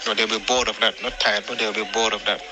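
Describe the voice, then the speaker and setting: A man with a fairly low, very even voice shares his take in a casual discussion. He pauses often to find his words. There is clear noise behind him. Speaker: discussion participant. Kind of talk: casual spoken opinion on a topic. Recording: clearly noisy background.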